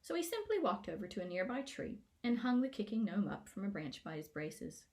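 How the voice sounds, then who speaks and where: A woman narrating a scripted children's fantasy story in a playful, expressive way, as an author reading aloud. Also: medium pitch, fairly quick. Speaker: author reading own book.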